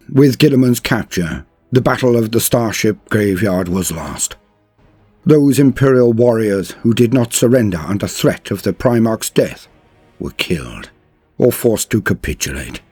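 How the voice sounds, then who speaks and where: A man's voice: on the low side, very animated, measured pace, a little mellow, slightly rough, no room sound, soft background music. A man giving a dramatic scripted narration of grim fantasy war lore, with a solemn, theatrical and emphatic delivery.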